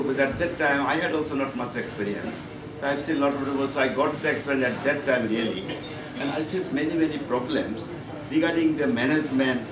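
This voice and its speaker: A man with a Bangladeshi accent giving a medical conference lecture as a professor, in halting, repetitive, emphatic phrases. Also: medium pitch. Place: conference meeting room. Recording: echoey, noisy room, distant microphone.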